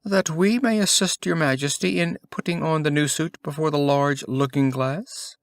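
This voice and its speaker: A man's voice: a little high, fairly even intonation, normal speed, no echo, clean studio sound, pitched above the performer's own voice, natural voice. A man voicing a sly swindler who poses as a royal weaver, showy and obsequious, in a storybook reading. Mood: smooth, flattering.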